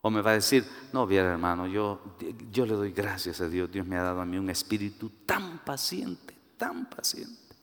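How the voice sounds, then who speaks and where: Guatemalan preacher guy, voice jumps all over, swings between loud and hushed, echoey church hall. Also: low-pitched, moderate pace. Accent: Guatemalan Spanish. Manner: animated, rises to emphatic peaks, acts out quoted voices. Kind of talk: sermon with personal anecdotes.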